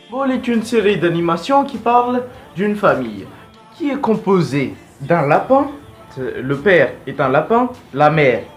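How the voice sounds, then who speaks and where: Animated, higher-pitched male vlogger. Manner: informal, rambling, blunt. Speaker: video host. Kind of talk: casual fan vlog commentary.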